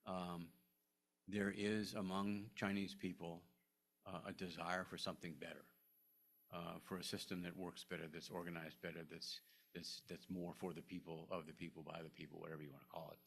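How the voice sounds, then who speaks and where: An older man, a historian, answering audience questions at a lecture, halting with many restarts and fillers. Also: low voice, level tone, vocal fry, fairly bright tone. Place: lecture room.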